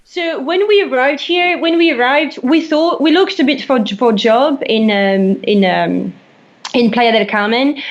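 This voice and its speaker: French woman, high voice, muffled line. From France. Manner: halting, many fillers and self-corrections. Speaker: guest, dive instructor. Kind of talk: interview storytelling.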